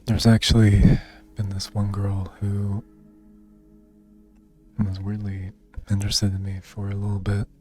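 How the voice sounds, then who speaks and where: A young man with a soft, low voice speaks slowly in an almost monotone delivery. He sounds reserved and hesitant, filling pauses with likes and you knows. Faint music plays underneath. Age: young adult. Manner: soft-spoken, reserved, hesitant with many fillers. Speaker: vlogger. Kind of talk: personal monologue.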